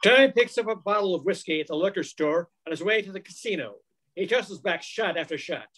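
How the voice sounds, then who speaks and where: High-pitched older man narrating radio mystery. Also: lively intonation, a little fast, a bit bright. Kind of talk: scripted narration, old-time radio mystery. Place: living room at home. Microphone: video call.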